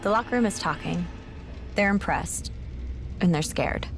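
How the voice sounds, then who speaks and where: A woman playing a composed, fearless wrestler, speaking in a measured, serious way in a video game drama. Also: lowish voice, expressive, noisy background. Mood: steady warning. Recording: music behind voice.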